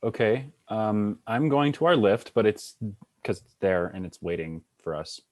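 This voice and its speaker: A man's voice: on the low side, lively intonation, a little slow. A man playing a dry, businesslike friend in a naturalistic comedy table read.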